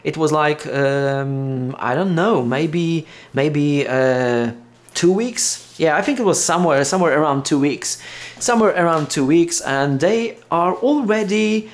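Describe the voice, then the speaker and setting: A man from Poland with a Polish accent and Polish as his first language, talking casually in an unboxing vlog, halting with many fillers and restarts. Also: a little high, expressive. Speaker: vlogger.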